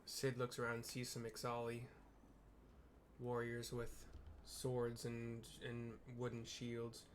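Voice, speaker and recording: A man's voice: mid-range voice, completely flat delivery, slow, a little hiss or hum. A man narrating a video game live, describing the scene informally for viewers. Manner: descriptive, informal, reads dialogue aloud.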